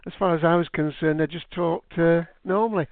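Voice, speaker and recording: A man's voice: a little high, steady tone, brisk. A British man co-hosting a podcast, chatting casually and laughing often. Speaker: podcast co-host. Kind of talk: casual chat over a call. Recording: tinny phone-like line.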